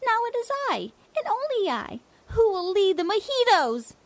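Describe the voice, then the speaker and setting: A woman's voice: very high voice, big pitch swings, dry recording, full character voice. A woman voicing a domineering, mocking female leader of a savage tribe in a comedic fantasy audio drama. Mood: haughty, commanding. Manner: mocking, imperious, drawn-out delivery.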